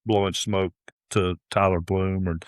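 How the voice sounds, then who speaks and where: A man's voice: sits low, little pitch movement, a little slow, no echo, studio quality. A middle-aged man talking earnestly as a golf course director in a podcast interview, often restarting his sentences.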